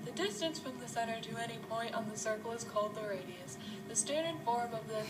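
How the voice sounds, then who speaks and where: A woman's voice: a little high, expressive, brisk, natural voice. A woman voicing a sock puppet who recites a textbook maths explanation for kids. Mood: explanatory. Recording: distant mic, music over voice.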